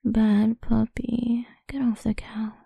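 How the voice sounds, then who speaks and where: Slow, breathy whispering woman. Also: on the high side, level tone, no room sound, clean studio sound. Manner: soft, slow, coaxing whisper. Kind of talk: whispered ASMR roleplay.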